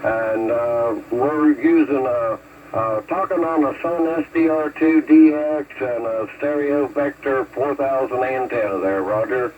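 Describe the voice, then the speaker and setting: Male CB operator from the United States, heard through heavy radio noise, mid-pitched, folksy casual chat. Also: a little slow, phone-quality sound. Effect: two-way radio transmission. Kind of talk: casual radio chat with call-sign procedure. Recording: noisy CB radio reception with static.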